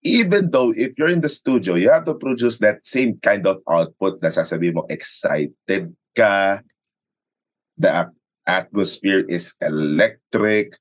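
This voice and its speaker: A man's voice: deep voice, lively intonation, a little slow, dry recording. A Filipino man, a sports radio commentator, chatting casually in an interview, explaining things and often checking for agreement. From Philippines. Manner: explanatory, often seeks agreement with tag questions. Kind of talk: casual interview chat mixing Tagalog and English.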